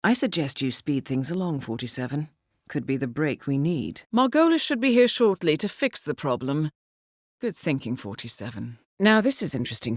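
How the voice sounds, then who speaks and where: An actress playing a composed, dryly witty British intelligence handler who briefs an assassin in a measured, precise, formal way for a video game. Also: female voice, on the low side, a little mellow, no room sound, clean studio sound. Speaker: mission handler.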